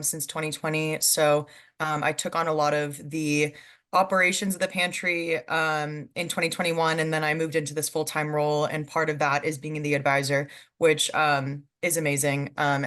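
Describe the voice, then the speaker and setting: A woman's voice: low voice, flat delivery, normal speed, fairly bright tone. A young woman in her twenties from California, chatting casually as a guest on a video call, with lots of "um"s and easy laughs. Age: 20s. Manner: chatty, many "um"s, laughs easily. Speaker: guest panelist.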